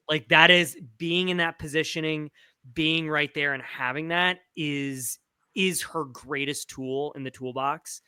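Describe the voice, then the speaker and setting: A man's voice: fairly high pitch, bright, forceful tone, no echo, clean studio sound. A man giving casual soccer analysis as a podcast panelist, emphatic and repeating himself for stress.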